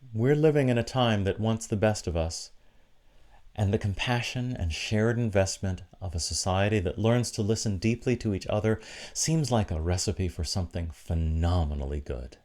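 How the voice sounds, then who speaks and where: A man preaching a reflective sermon in a deliberate, encouraging manner, with short emphatic phrases. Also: fairly low pitch, a little mellow. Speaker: preacher giving an online church sermon.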